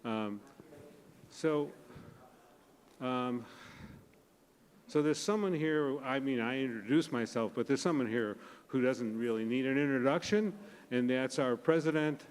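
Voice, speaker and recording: A man's voice: medium pitch, measured pace, pauses often, clear, resonant tone. A man chairs a faculty assembly in a meeting hall, introducing the next speaker. He talks hesitantly, with frequent ums and uhs. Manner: hesitant, with many fillers. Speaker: presiding officer.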